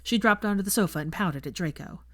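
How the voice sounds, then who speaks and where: A woman's voice: medium pitch, fast, a bit bright, no echo, clean studio sound. A woman narrating a fan fiction audiobook, reading scripted prose as a storyteller.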